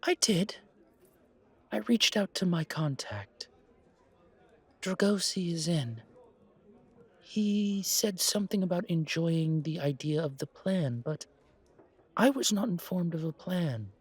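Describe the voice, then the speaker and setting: A man's voice: on the high side, expressive, slow, long pauses, slightly rough, exaggerated voice. A man voicing a refined, haughty old vampire who speaks in a formal, courtly, theatrical way. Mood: formal, businesslike.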